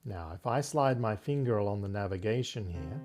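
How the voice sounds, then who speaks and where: A low-voiced man presenting a tech tutorial. His pitch moves in a lively way and his tone is husky, with music behind him as he talks through each step conversationally. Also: a little fast. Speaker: host of tech tutorial series. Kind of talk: software tutorial walkthrough.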